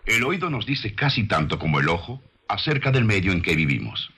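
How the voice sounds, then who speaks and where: A man reading scripted religious documentary narration in a solemn, measured, declamatory manner. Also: lowish voice, big pitch swings, noisy background. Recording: music behind the voice. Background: music.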